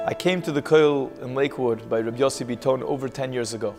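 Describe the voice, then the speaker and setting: Guy from the states giving a testimonial, big echoey reverb, music clearly behind. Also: medium pitch, fast. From United States. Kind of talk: promotional video testimonial.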